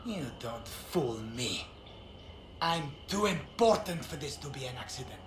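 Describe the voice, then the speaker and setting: A man's voice: on the high side, sing-song, unhurried. A man playing a haughty, self-important noble villain in a video game, declamatory and theatrical. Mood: haughty, indignant. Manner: pompous, declamatory. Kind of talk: video game dialogue acting. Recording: roomy echo, loud background noise.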